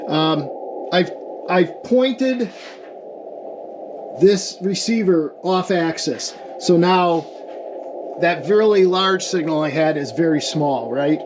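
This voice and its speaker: Male hobbyist demonstrator, slightly high voice, informal and explanatory, with clear background noise on the recording. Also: takes it slowly, long pauses. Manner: explanatory, checks in with "okay" and "right". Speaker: amateur radio experimenter demonstrating equipment. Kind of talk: informal hands-on technical demonstration.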